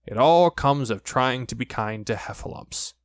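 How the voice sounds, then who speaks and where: A man voicing a gentle, slow-witted storybook toy bear who muses in a simple, puzzled, childlike way. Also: medium pitch, dry recording, lightly acted. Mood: mildly dismayed.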